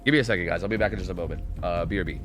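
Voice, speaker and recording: A young man with a stuffy nose casting a live game tournament from his home stream, excitable, swearing and full of fillers. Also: sits low, rapid-fire, over music. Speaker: esports caster.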